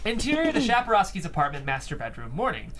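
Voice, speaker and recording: A fairly high male voice reads scene headings and action lines as scripted narration. There is faint noise in the background of the table read. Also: huge pitch swings, quick pace. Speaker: stage directions reader.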